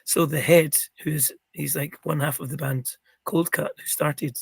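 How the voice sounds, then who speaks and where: A middle-aged man with a croaky, gravelly voice, chatting as an interview guest and rambling with many ums and restarts. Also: fairly high pitch, fairly even intonation, unhurried, dry recording. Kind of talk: casual interview chat.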